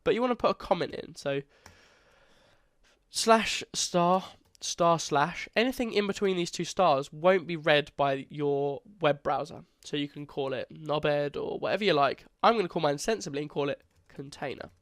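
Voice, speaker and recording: A man's voice: on the high side, normal speed, a bit bright, no echo, clean studio sound. Congested young man teaching code. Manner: casual, chatty, self-correcting. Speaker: coding tutor. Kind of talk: screen-recorded coding tutorial.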